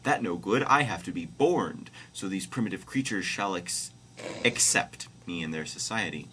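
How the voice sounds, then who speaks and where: Naive holy man for comedy reading, male reader: stilted, declamatory broken English, lively pitch swings. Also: normal speed, slight background noise, low-quality recording. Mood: earnest.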